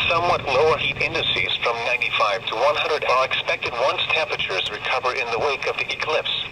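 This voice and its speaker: A synthetic man's voice reading a scripted weather broadcast in a measured, formulaic way, heard through a radio. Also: medium pitch. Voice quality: synthetic text-to-speech voice. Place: played over a handheld weather radio inside a car. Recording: noisy handheld radio speaker in a car.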